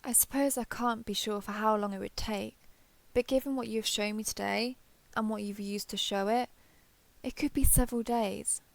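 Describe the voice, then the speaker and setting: A woman playing an ancient, proud female dragon in human form in an audio roleplay, speaking in formal, measured, archaic phrasing. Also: medium pitch, fairly even intonation, gravelly, close to an everyday voice. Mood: thoughtful, gracious. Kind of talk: scripted audio roleplay acting.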